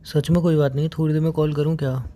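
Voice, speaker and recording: Tearful hoarse young man on a call. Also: on the high side, little pitch movement, warm tone. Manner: subdued, halting, reluctant to open up. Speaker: boyfriend on a phone call. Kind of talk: emotional phone conversation.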